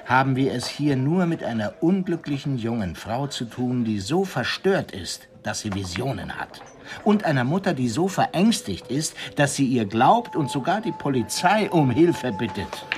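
An actor playing a learned, grave police commandant who tells tales in a theatrical, savoring way in a radio crime drama. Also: male voice, mid-range voice, sing-song, normal speed, lightly acted. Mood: sober. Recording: music bed under studio radio drama.

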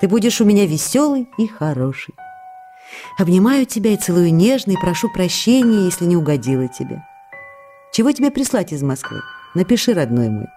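A woman's voice: on the low side, very animated, brisk, mellow, soft background music. An actress playing a devoted, eager wife reading her intimate, coaxing letters to her husband in a radio drama. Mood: loving.

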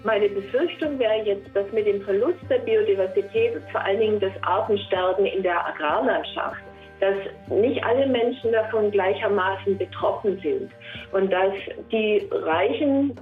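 A woman's voice: medium pitch, normal speed, soft background music. A woman speaking as an expert, giving an explanatory statement. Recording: muffled phone-like sound, echoey room.

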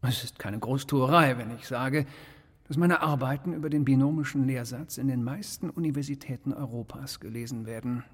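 Actor as husky, genteel criminal mastermind, echoing hall. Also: male voice, medium pitch, lightly acted. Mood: smug, composed. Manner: polite, pompous, measured, condescending. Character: courteous, menacing, intellectual criminal mastermind professor, thin. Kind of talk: radio crime drama acting.

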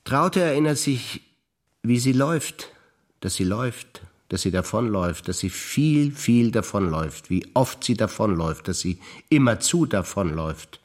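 An older man, a stage actor reciting memories in a rhythmic, deliberate listing manner with pauses. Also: lowish voice, expressive.

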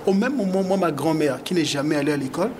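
Guest interviewee: man, higher-pitched voice, earnest and animated, lots of restarts, noisy background. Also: fast. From Togo. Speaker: rapper and activist guest. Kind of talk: conversational interview answers. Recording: audible background noise.

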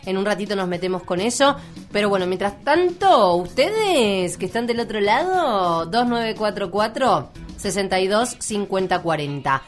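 An Argentine woman hosts a community radio program, talking in a relaxed, chatty way at a middle pitch. She moves easily between banter and longer spoken reports, with faint music sitting under her voice. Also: normal speed. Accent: Argentine Spanish. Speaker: radio host. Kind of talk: casual radio chat and report.

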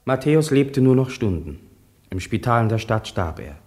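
A man's voice: mid-range voice, very animated, normal speed, darkish tone, natural voice. An actor playing a farmer's son in a radio drama, soberly recounting his family's past in plain, earnest sentences. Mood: sober, recollecting. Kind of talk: radio drama first-person narration.

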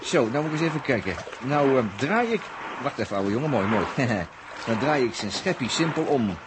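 An actor from an early sixties radio play voicing a cheeky, ingenious cyberneticist, chatty and jocular, with a Netherlands Dutch accent. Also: male voice, medium pitch, very animated, moderate pace, lightly acted. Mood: gleeful, explaining. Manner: jocular, chuckling, colloquial, thinking aloud. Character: cheeky, ingenious male cyberneticist on a space crew. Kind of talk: 1960s Dutch science-fiction radio play acting. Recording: noisy early 1960s radio recording.

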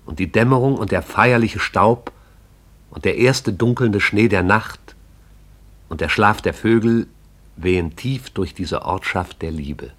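A man narrates a radio play in a measured, poetic way. His voice sits fairly low. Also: fairly bright tone.

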